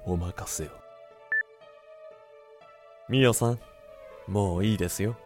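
A woman's voice: a bass voice, takes it slowly. A woman voicing a cold, politely mocking female mastermind in an amateur voice drama. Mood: scheming politeness. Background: background music.

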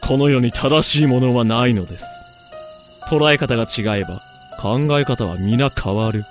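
An actor playing a calm, smug, philosophizing villain in a Japanese voice drama, polite and theatrical. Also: male voice, mid-range voice, moderate pace, close to an everyday voice. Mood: smug, lecturing. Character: calm, smug, philosophizing male villain who experiments on life. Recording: music behind the voice.

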